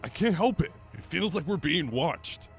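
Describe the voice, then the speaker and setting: A man's voice: a little high, expressive, normal speed, vocal fry, big-room sound, soft background music. A man voicing a jittery, anxious young man in a fan audio drama. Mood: nervous. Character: jumpy, nervous young man.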